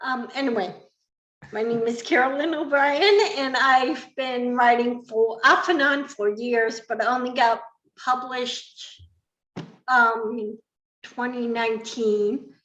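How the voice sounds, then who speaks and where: Slow-talking woman in a roomy space. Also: fairly high pitch, fairly even intonation, fairly bright tone. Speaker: podcast guest. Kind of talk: casual self-introduction.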